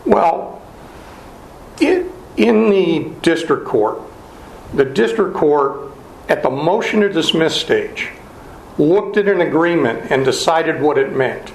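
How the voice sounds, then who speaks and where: A man's voice: a little high, expressive, slow pace, gravelly, long pauses. A male attorney making an oral argument before an appeals court, pressing his points with rhetorical questions. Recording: roomy courtroom sound, faint noise.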